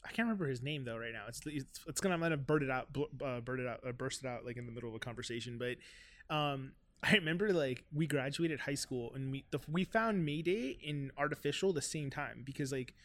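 A man in his thirties from South Florida with a high-pitched voice, chatting loosely, with lots of "like" and "right?". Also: expressive, quick pace. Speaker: guest musician. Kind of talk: casual podcast conversation.

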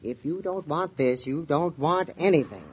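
A man's voice: a little high, normal speed, breathy edge. An actor playing an eager, hesitant shop salesman with an American accent and polite sales patter in a 1940s radio drama. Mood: coaxing. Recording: dull lo-fi 1940s radio recording.

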